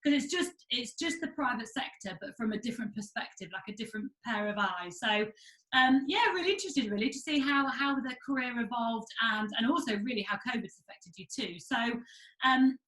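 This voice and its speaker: A woman's voice: a little high, animated, brisk, fairly bright tone. Chatty woman host in an echoey room. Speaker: podcast host. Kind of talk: casual interview chat.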